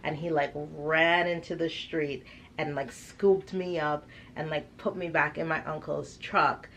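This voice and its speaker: A woman from Trinidad chatting casually and telling personal stories in an animated, emphatic way. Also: low-pitched, measured pace, a little hiss or hum. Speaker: vlogger and parent of six. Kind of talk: casual chat, personal storytelling.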